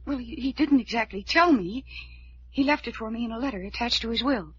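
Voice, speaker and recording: An actress playing an anxious, stubborn young heiress with an earnest, fretful delivery, in old-time radio drama. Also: female voice, fairly high pitch, brisk, a bit bright, breathy edge. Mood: earnest, uneasy. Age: young adult. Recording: dull, noisy old radio recording.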